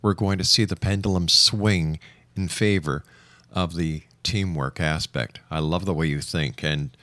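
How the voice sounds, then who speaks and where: A man hosting a radio interview show, speaking in a measured, emphatic way with deliberate pauses. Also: low-pitched, a bit bright, dry recording. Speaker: radio talk show host.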